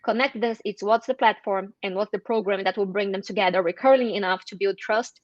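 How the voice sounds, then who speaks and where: A woman's voice: medium pitch, no room sound. Woman guest explaining ideas, lots of "like", slightly muffled audio. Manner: thoughtful, many "like" fillers, slows for emphasis. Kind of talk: interview conversation.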